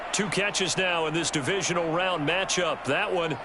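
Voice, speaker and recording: A man's voice: fairly high pitch, moderate pace, close to an everyday voice. A man voicing a football play-by-play announcer in a video game, with polished, clipped delivery. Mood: steady, focused. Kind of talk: scripted video game sports commentary. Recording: very noisy game broadcast capture.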